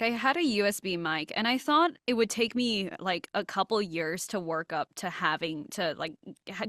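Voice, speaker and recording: A woman with a medium-pitched voice talks as a guest in a casual interview. She is candid and pokes fun at herself, swearing now and then. The sound is dry, with no room echo. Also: a bit bright. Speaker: interview guest, voice actor and illustrator.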